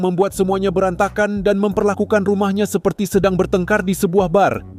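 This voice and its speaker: A man's voice: fairly high pitch, darkish tone. A man giving comic, sarcastic scripted narration with an exaggerated ranting delivery.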